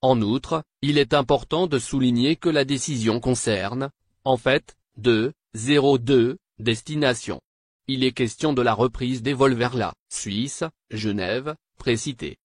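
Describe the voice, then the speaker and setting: A man delivers scripted news narration in a medium-pitched voice. His manner is formal, like someone reading written copy. The recording is close and dry, with very little room sound. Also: unhurried, no echo.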